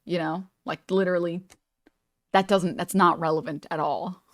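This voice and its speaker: Chatty young woman streamer, low and dry. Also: steady tone, normal speed, no echo. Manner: chatty and meandering, lots of "like" and "you know". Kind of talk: casual reaction stream commentary.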